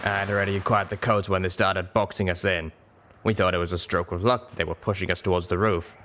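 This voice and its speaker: A man's voice: low voice, steady tone, fast talker, fairly bright tone, a little hiss or hum. Man as hard-bitten griffin soldier of fortune, sardonic and blunt, audiobook role. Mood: bitter.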